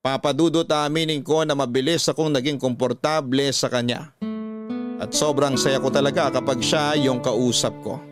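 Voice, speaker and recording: A man's voice: mid-range voice, fairly even intonation, normal speed, music in the background. A man with a Filipino accent narrating a scripted first-person story as host of a radio drama.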